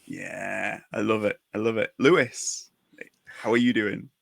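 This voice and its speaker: A man hosts a casual podcast interview with effusive praise for his guests. His voice sits on the low side with plenty of fry, and the sound is close and dry. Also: fairly even intonation, unhurried, a bit bright, dry recording. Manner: effusive, complimentary. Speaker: podcast host.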